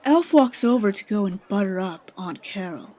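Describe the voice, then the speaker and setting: A woman's voice: medium pitch, animated, normal speed, mellow, some chatter behind. A woman giving scripted narration as the storyteller of a radio drama.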